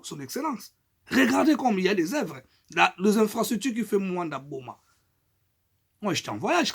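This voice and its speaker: A middle-aged Congolese man with a high, husky voice delivers an impassioned political appeal. He speaks French with a Congolese accent and presses his points hard, swinging between loud bursts and quieter stretches. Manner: emphatic, rising and falling loudness, mixes in Lingala phrases. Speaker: political activist.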